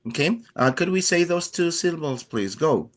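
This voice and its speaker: A man's voice: on the high side, animated, moderate pace. A man from Chile with a Chilean accent, a native Spanish speaker, teaching a language class in an encouraging, playful way with many fillers.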